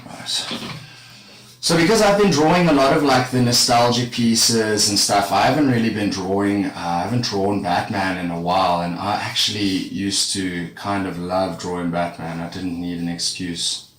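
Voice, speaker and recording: A man casually talking to his live-stream viewers while he draws. He rambles in a relaxed way and sounds a little congested from a cold. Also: deep voice, normal speed. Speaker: art streamer. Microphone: streaming setup with a camera facing him. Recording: echoey room with faint background noise.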